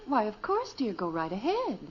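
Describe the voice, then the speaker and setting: An actress playing a composed, gently teasing middle-aged wife and mother, patient but firm, in old radio sitcom style. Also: mid-range voice, huge pitch swings, moderate pace. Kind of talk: 1940s-50s radio sitcom acting. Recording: muffled, hissy old radio recording.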